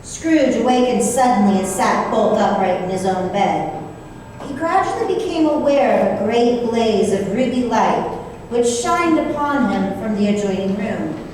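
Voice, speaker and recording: Casting: female storyteller for radio drama, fairly high voice, unhurried, in a very echoey hall. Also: animated, darkish tone. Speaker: narrator. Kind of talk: scripted storytelling in old-time radio performance. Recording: distant, echoey hall, faint noise.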